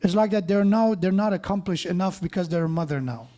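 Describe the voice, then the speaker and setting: A man's voice: high voice, quick pace, mellow. A man preaching a sermon in a mosque, conversational, with joking asides and frequent restarts.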